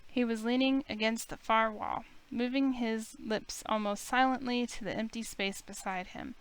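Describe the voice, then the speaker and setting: A woman's voice: fairly high pitch, fairly even intonation, moderate pace, clean tone, poor audio. A woman reading a visual novel aloud in the first person, acting out the heroine's lines.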